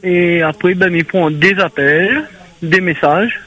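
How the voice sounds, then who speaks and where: A man calling in to a radio show, speaking in a hesitant, halting way. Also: high voice, bright, forceful tone, low-quality recording. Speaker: caller. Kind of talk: phone-in chat. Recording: radio phone-in.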